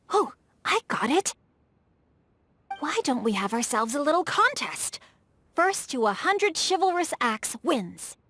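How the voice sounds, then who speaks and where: A woman's voice: on the high side, a bit bright, no echo. A woman voicing a refined, bookish, playful boy with eloquent, formal phrasing in a video game. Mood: eager, playful. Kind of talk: video game character voice acting.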